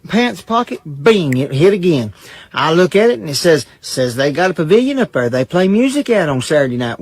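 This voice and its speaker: High-pitched man telling folksy stories. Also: dry recording. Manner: colloquial, with spoken sound effects. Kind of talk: folksy comedic storytelling.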